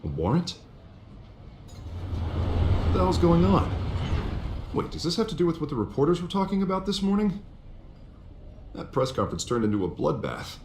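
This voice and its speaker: An American actor playing a harried but loyal district attorney in a video game, speaking emphatically in blunt, colloquial language. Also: male voice, a little high, huge pitch swings, normal speed, pauses often, a little mellow. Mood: startled, exasperated. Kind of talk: video game voice acting, cinematic dialogue. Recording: roomy, noisy ambience behind.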